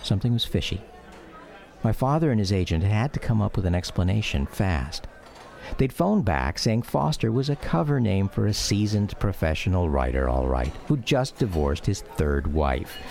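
A low, gravelly grown son reminiscing. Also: male voice, very animated, moderate pace, a little mellow, exaggerated voice. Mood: reflective. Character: grown son wistfully recounting memories of his father. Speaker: narrator of a radio drama. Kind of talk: scripted dramatic memoir narration.

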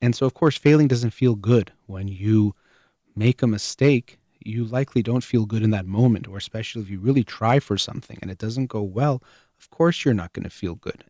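A male host talks through parenting advice in a calm, explanatory way, leaning on everyday examples. His voice sits fairly low, with a warm, soft tone. The recording is close and dry, with no room sound. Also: dry recording. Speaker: show host.

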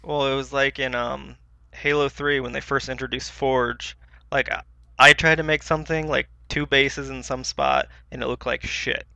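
Bright, crisp, gravelly man, casual podcast talk. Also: mid-range voice, flat delivery, moderate pace, no room sound, dull audio. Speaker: podcast co-host. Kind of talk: casual chat about video games.

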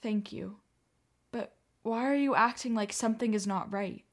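A woman's voice: on the high side, normal speed, natural voice. A woman acting a distressed young pregnant refugee in a radio play, earnest and pleading. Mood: anxious.

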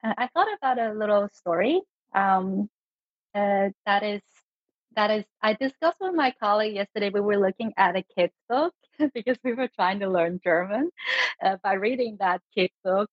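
A woman's voice: mid-range voice, normal speed, dry recording, highs cut off. Thoughtful woman from China, dry close sound. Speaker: panel guest, product design lead. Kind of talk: reflective panel talk.

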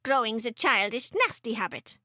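A woman's voice: fairly high pitch, very animated, normal speed, sharp tone, dry recording, studio quality, heavily acted. A woman voicing a tiny, bossy old grandmother who snaps scornfully, in a storybook reading. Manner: snapping, scornful, emphatic.

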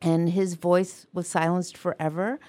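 Earnest older woman author, warm dry voice. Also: fairly low pitch, little pitch movement, measured pace, dry recording. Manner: earnest storytelling, loud voice. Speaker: guest author. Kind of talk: radio interview answers.

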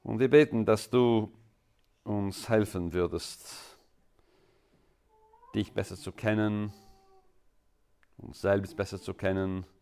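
A man from England with an English accent, a native English speaker preaching as a guest in halting, deliberate non-native speech. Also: on the low side, fairly even intonation, dark tone, clean tone. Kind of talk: sermon.

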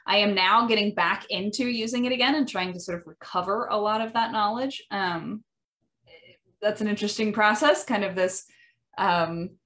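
A woman with a Midwestern American accent talks through an educational topic at a middle pitch. She speaks thoughtfully, often pausing on ums and restarting her sentences as she works out her points. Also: fairly bright tone. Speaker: podcast host. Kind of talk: educational monologue.

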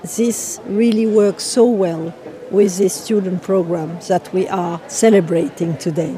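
A woman's voice: lowish voice, steady tone, a little slow, dark tone. A woman from France with a French accent, a commission member giving an earnest, formal interview statement outdoors. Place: outdoors at a public event.

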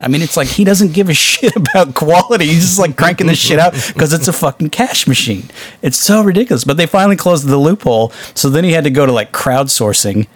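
A man hosting a casual podcast discussion of films, talking with animated exasperation and swearing freely. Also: fairly high pitch, fast. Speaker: podcast host.

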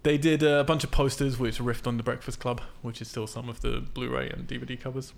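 A man's voice: medium pitch, little pitch movement, fast, creaky. A man hosting a casual film podcast, explaining things in an informal, sweary way. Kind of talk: casual film discussion from notes.

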